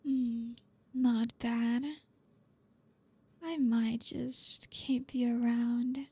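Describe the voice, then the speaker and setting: A woman acts out a dominant female boss in an intimate ASMR roleplay. Her voice is mellow and breathy, lingering very slowly over each teasing instruction. She stays softly commanding and flirty, close on the mic. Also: a little high, level tone, dry recording, heavily acted. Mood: amused, teasing. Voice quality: breathy, soft. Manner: slow, deliberate, teasing, softly commanding with breathy hushed phrases. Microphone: close microphone, dry.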